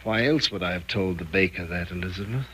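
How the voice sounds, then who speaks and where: An actor playing a controlling, irritable husband feigning tender concern in a 1940s radio thriller, stern and insistent. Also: male voice, low voice, brisk, pushed and bright, slight background noise, low-quality recording. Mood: defensive. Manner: stern, clipped, imperious, insistent. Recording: vintage 1940s radio recording.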